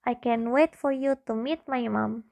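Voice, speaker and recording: A little girl , acted by a woman speaks in a high voice, innocent and earnest. She is a trusting, lonely child in an amateur radio drama. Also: moderate pace, gravelly, a little mellow. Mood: affectionate.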